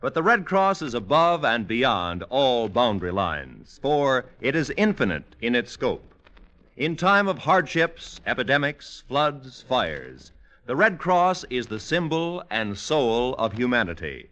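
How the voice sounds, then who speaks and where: An American man announces in vintage 1940s radio style. His mid-pitched voice is bright and forceful, with a solemn, declamatory delivery and very lively intonation. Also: a little slow.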